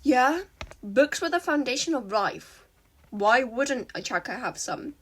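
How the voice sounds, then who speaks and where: A woman as teenage heroine, high voice, husky edge, exasperated, bossy, guarded, story character. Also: expressive, measured pace, a bit bright, full character voice. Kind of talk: fan fiction read aloud.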